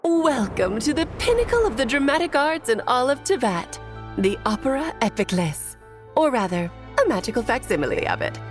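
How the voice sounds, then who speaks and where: A woman's voice: high voice, sing-song, moderate pace, faint music behind. A woman voicing a breezy, theatrical witch in a video game, smugly teasing in her delivery. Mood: showy, grand. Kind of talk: video game cutscene voice acting.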